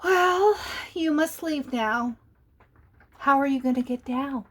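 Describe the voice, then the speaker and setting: A woman voicing a calm, motherly female guardian angel who speaks gently but firmly. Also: sits high, slow pace.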